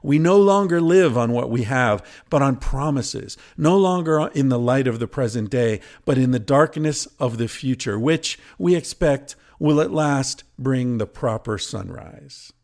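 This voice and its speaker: A man with a mid-pitched voice and a noticeable creak at the edges. He speaks as a host reading prose aloud, deliberate and measured, and comments on it in an informal way. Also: expressive, a little mellow. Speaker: podcast host and reader. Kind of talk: reading a book excerpt aloud with casual asides.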